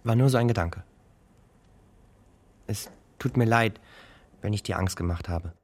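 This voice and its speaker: An actor playing a gentle, apologetic man in a radio drama, speaking softly and carefully. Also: fairly low pitch, measured pace. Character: gentle, apologetic man worrying about someone dependent.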